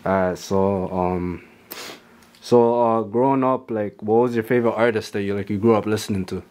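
A man's voice: on the low side, moderate pace. A young man hosting a casual interview, asking loose questions packed with "like" and answering with "okay, okay.". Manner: relaxed, many "like" fillers and "okay, okay" backchannels. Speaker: interviewer host. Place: small studio room, seated on a couch.

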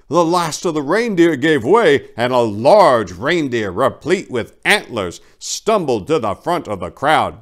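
A man in his forties giving a theatrical, mock-earnest dramatic reading of a comic romance story, recorded indoors on a lapel mic. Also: on the high side. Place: indoors, book-lined room.

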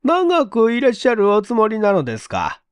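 Man putting on a high-pitched kindly old landlady. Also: huge pitch swings, moderate pace, clear, resonant tone, no echo. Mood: kindly, welcoming. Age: older. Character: kindly elderly Russian landlady, gentle and polite.

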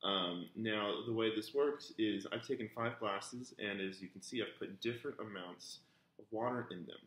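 A man in his thirties, a music teacher giving a relaxed, chatty lesson at home, full of ums and uhs, recorded by a camera on a kitchen table. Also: lowish voice, moderate pace. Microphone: camera on the table in front of him. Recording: echoey kitchen with faint noise. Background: glasses clinking.

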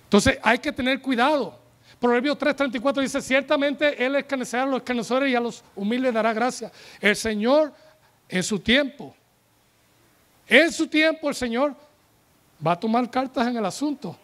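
A man in his forties preaching a sermon from a pulpit, animated and joking as he calls on the congregation, speaking into a handheld microphone. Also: very high voice, normal speed. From United States. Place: church tent stage with a pulpit.

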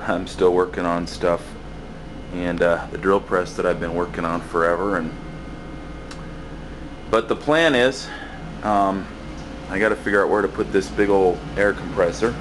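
A man's voice: low-pitched, fairly even intonation, normal speed, pauses often, noisy background. A man giving a casual, rambling walkaround tour of a cluttered basement workshop where he does building maintenance, talking with many fillers and restarts into a handheld camera. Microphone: handheld camera mic, speaker off screen.

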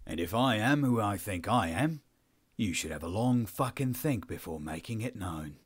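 A man's voice: fairly low pitch, lively intonation, normal speed, breathy edge. A man voicing a defiant, sullen soldier held prisoner in an audiobook story, insolent and snarling. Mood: defiant, arrogant. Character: defiant, foul-mouthed Australian male prisoner, sullen soldier.

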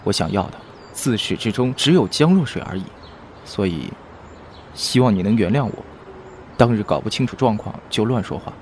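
A man's voice: on the low side, lively intonation, moderate pace, a little mellow, slight background noise, lightly acted. A man acting a remorseful young lover in an audio drama, earnest and apologetic.

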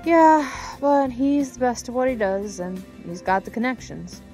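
A woman's voice: on the high side, lively intonation, a little slow, mellow, faint music behind. A woman playing a candid, chatty young graduate student in an audio drama. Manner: conversational, candid.

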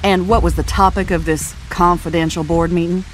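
Actress as a suspicious middle-aged wife. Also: female voice, on the low side, fairly even intonation, brisk, faint music behind, close to an everyday voice. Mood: suspicious, needling. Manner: pointed, sarcastic questioning. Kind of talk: naturalistic dramatic game dialogue.

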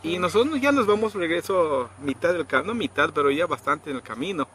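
A middle-aged man casually telling a story outdoors, acting out quoted people with sudden high pitched voices. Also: expressive, fairly quick, a bit bright. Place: outdoors under trees in a rural yard. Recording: outdoor noise in background.

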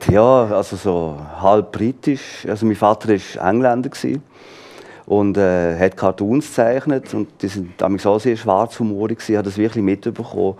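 Low-voiced Swiss German man, laughing often. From born in London, raised in Graz and Olten. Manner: relaxed, laughs often, thoughtful pauses. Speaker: author guest. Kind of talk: studio interview. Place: TV studio. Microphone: lapel.

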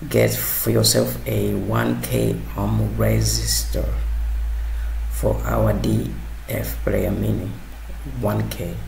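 A man narrating a hands-on electronics tutorial, halting and repeating words as he works. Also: lowish voice, little pitch movement, creaky, a little mellow. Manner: halting, hesitant, repeats words while working. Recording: roomy sound with faint background noise.

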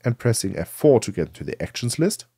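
A man narrating a software tutorial in a conversational, explanatory way, asking and answering his own questions. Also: mid-range voice, sing-song, fast, slightly rough, no room sound. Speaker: tutorial presenter.

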